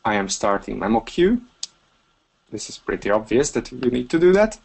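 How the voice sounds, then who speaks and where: A Hungarian man walking through a software feature step by step in a webinar screencast, relaxed and talking through each click with a few fillers. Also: mid-range voice, normal speed, creaky, breathy edge. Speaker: software trainer.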